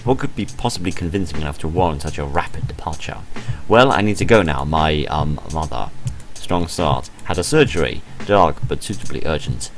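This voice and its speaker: A British man with a deep voice reads a visual novel aloud. His delivery swings widely in pitch, with wry, sarcastic asides, and game music plays behind him. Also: normal speed, few pauses. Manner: expressive, deadpan sarcastic asides. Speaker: let's play host.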